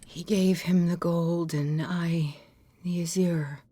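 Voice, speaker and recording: A woman acting as a fragile, half-mad painter in a video game, breathy and husky, drifting dreamily and cryptically between halting phrases. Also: fairly low pitch, fairly even intonation, warm tone, a little hiss or hum. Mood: dazed, dreamy.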